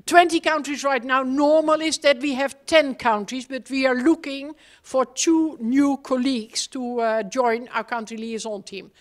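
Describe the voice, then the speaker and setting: A high-voiced woman runs the room as host. She fires short, informal questions at the audience through a handheld mic in a large hall. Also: steady tone, unhurried. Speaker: event host introducing team members. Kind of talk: live panel introductions. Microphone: handheld microphone.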